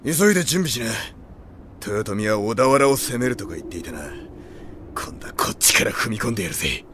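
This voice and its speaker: An actor playing a brash, hot-blooded young samurai warlord in an anime audio drama, with a rough, swaggering, defiant delivery. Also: male voice, on the low side, sing-song, brisk, a bit bright, slight background noise. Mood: grim, determined. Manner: rough, swaggering, drops English words.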